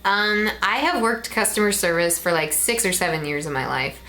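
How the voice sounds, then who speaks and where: A young woman in her twenties talks casually to camera in a Midwestern American accent, rambling along with plenty of ums. Her voice sits a little low for a woman, and a faint hiss runs under the recording. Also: animated, moderate pace, pushed and bright. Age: 20s. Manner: chatty, rambling, with frequent ums. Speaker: vlogger.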